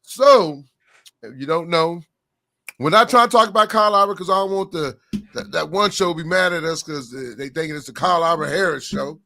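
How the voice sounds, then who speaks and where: Hoarse guy rambling about girls hoops, kinda high and bright voice. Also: brisk. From Oklahoma. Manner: rambling, repeats words. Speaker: podcast co-host. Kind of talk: casual sports chat.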